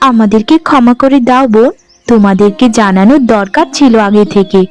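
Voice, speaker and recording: A woman voicing a gentle, dutiful young new bride in a cartoon, speaking meekly and earnestly. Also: on the high side, brisk, faint music behind, close to an everyday voice. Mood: pleading. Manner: meek, earnest, conciliatory. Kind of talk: Bengali cartoon dialogue acting.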